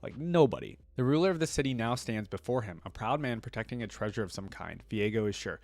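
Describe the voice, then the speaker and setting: A man's voice: fairly low pitch, expressive, quick pace, vocal fry, a little mellow. A man hosting a reaction video, reading story text aloud and adding casual commentary.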